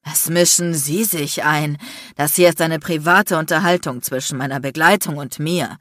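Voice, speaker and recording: A pompous businessman in an audiobook, as a woman narrator puts him on. He sounds affronted and angry, stiffly formal, with a lowish pitch and a dry studio closeness. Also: very slow, a bit bright, breathy edge, no echo, exaggerated voice. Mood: indignant, affronted. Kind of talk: dramatic audiobook character acting.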